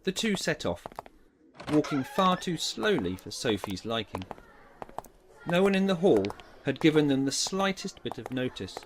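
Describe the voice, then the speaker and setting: Animated male audiobook narrator. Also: mid-range voice, slight background noise. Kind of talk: dramatised storybook narration.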